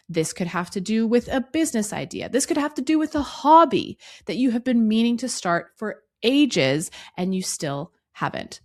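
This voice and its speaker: A woman in her thirties hosting a solo podcast, her voice hoarse and raspy from a cough, talking earnestly and reflectively to the listener. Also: mid-range voice. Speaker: podcast host.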